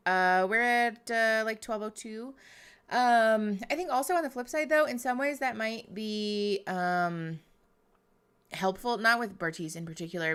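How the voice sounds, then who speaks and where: A woman's voice: mid-range voice, bright, forceful tone, clear, resonant tone. Candid woman giving wry reaction commentary. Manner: candid, wry asides with many ums. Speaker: therapist reacting to a show. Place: at home with a pet. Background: show audio playing.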